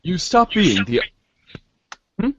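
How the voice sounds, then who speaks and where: Casting: male amateur narrator, mock-grave and commanding, unhurried with big pitch swings, slightly muffled recording. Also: huge pitch swings, noisy background. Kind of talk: dramatic amateur reading of webcomic narration.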